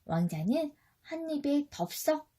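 A woman's voice: high voice, sing-song, measured pace, warm tone. A woman reading a children's story expressively as a mother would, speaking directly and instructively to a small child.

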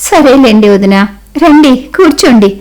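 A woman's voice: sits high, lively intonation, moderate pace, darkish tone, voice pushed down, poor audio. A woman voicing a polite, dutiful young daughter-in-law with a deferential manner in a cartoon moral story.

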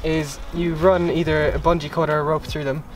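A man's voice: on the high side, a little fast. A young man giving a casual, matter-of-fact tour of his small sailboat outdoors in a harbour, recorded by a camera held nearby. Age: young adult. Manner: relaxed, matter-of-fact explaining with fillers. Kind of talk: casual explanatory vlog. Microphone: camera held by someone nearby. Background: music.

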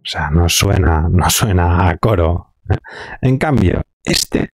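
A man giving an informal software tutorial, offhand and thinking aloud as he works, close on the mic. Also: deep voice, expressive, slow pace, gravelly, no room sound, faint music behind. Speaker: online audio software instructor.

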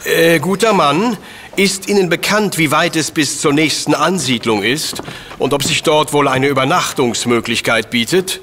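An actor playing a pompous, lecturing professor in a radio drama, self-assured and commanding, with ornate formal phrasing. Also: male voice, a little high, normal speed, pushed and bright, a little hiss or hum. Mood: formal, composed. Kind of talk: scripted radio drama acting.

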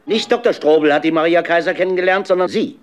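An actor playing an insistent, accusing police inspector in a German crime audio play, questioning with deliberate emphasis. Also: male voice, fairly high pitch, close to an everyday voice. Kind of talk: German radio crime drama acting.

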